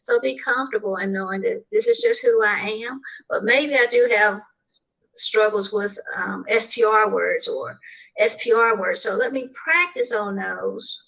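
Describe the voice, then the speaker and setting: A woman from the southern United States answering interview questions, laying out her advice point by point in a measured way. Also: medium pitch, creaky, fairly bright tone, low-quality recording. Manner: measured, advice-giving, lists points in order. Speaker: guest speaker.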